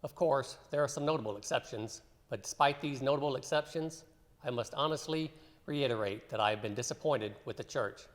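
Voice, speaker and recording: A man's voice: mid-range voice, fairly quick. A man, a church member, reading quotations aloud in a solemn, scripted way. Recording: long hall reverb.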